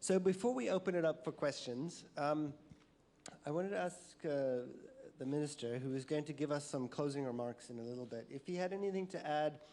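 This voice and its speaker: Mellow-voiced man moderating a panel. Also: a little high. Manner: casual, fills pauses with um.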